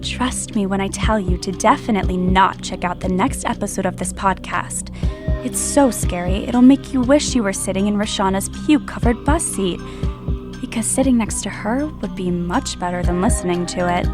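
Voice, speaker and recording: An actress playing a gleefully ghoulish monster who hosts a horror anthology, theatrical and relishing every word. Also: female voice, medium pitch, moderate pace, over music, close to an everyday voice. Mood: mock ominous. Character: gleefully ghoulish monster storyteller who savours creepy tales. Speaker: podcast host. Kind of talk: horror anthology host narration.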